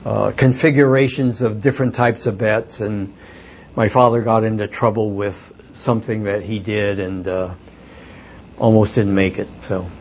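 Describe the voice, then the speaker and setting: A man's voice: fairly low pitch, little pitch movement, normal speed, darkish tone, a little hiss or hum. Hesitant older man telling stories. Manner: reflective, hesitant storytelling with many fillers. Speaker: author and former reporter. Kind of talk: book talk with audience questions.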